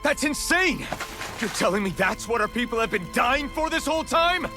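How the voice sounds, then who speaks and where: Hot-headed young hero, very high pitch, animated, indignant, video game dialogue, music behind. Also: male voice, normal speed. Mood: outraged.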